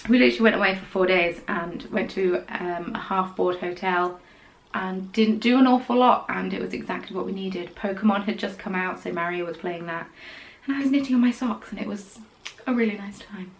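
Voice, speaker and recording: A woman's voice: mid-range voice, a little fast, poor audio. Chatty woman hosting a podcast. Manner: chatty and rambling, with quiet whispered asides. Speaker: podcast host. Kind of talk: casual chat about knitting.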